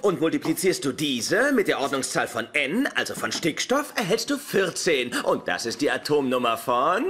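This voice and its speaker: A man's voice: fairly high pitch, very animated, moderate pace, hardly any pauses, a bit bright. An actor playing a pompous, pedantic male genius nerd in a German sitcom audio play, lecturing with smug precision. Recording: noisy, with clear background ambience.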